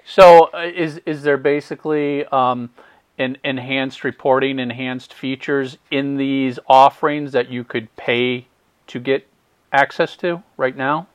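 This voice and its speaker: A man of middle pitch hosts a casual interview, recorded close and dry. He asks long questions and often stammers and restarts his words. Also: fairly even intonation, a little slow, clear, resonant tone, dry recording. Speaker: podcast host.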